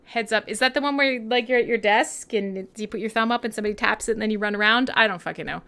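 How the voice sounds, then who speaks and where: A woman's voice: a little high, quick pace, pushed and bright. A woman with a Western Canadian accent hosting an online radio show, chatting casually and irreverently with listeners, with plenty of swearing.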